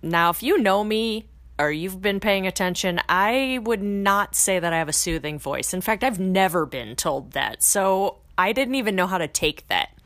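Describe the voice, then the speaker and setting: A woman's voice: mid-range voice, animated, moderate pace, a bit bright, no room sound. A woman hosting a podcast, talking in a casual, blunt, motivational way that swears freely and rises into emphatic bursts. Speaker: podcast host.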